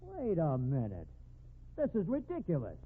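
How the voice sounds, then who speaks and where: A man's voice: on the high side, huge pitch swings, moderate pace, breathy edge, heavily acted. A man playing a tough, slangy, bullying investigator in a 1950s radio mystery, speaking emphatically. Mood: flustered, indignant. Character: hard-boiled male investigator, bullying, flustered when cornered. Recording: tinny narrowband 1950s radio recording.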